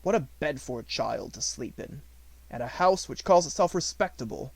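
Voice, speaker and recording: A brisk young secretary from a storybook, played by a male narrator. He is inquisitive and clipped, like someone taking stock. His voice is fairly high and animated, close and dry on the recording. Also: vocal fry, dry recording. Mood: businesslike disapproval.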